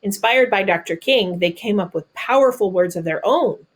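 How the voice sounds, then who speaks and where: A woman with a mid-pitched voice reads a children's book aloud. She delivers the text deliberately and breaks off into plain, simple explanations, as if speaking to young listeners. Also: animated. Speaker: storybook reader.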